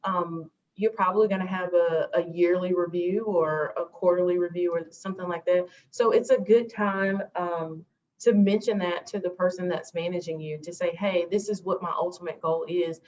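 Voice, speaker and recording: A woman's voice: fairly low pitch, fairly even intonation, moderate pace, a little mellow. A woman manager on a panel, explaining steadily with some fillers over a video call. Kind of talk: casual panel advice. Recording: dull video call audio with faint noise.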